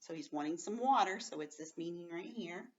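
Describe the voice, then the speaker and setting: A woman teaching young children, reading aloud expressively and explaining simply and patiently, slowing right down to stress words. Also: fairly low pitch, distant mic, highs cut off. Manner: expressive, emphatic, slows right down on story words.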